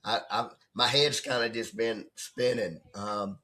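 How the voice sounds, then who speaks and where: A hoarse man who has nearly lost his voice, talking as a trading coach in a rambling, conversational way and often checking in with "right?". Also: lowish voice, unhurried, bright, forceful tone. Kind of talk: casual off-the-cuff coaching talk. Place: at his computer desk.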